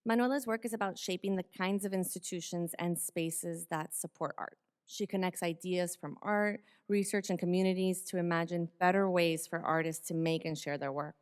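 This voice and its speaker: A woman hosting an event reads a prepared formal introduction in a measured, articulate way, phrase by phrase. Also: lowish voice, steady tone, clear, resonant tone. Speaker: event host introducing a speaker.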